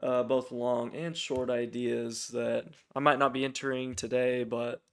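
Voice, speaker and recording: A man with a mid-pitched voice gives an off-the-cuff stock trading commentary. He sounds sick and raspy from a throat infection. His intonation stays very flat, and he talks informally and hesitantly, working out his thoughts as he goes. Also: measured pace. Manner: informal, hesitant, thinking aloud. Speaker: stock trading channel host. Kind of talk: casual, unscripted stock watchlist commentary.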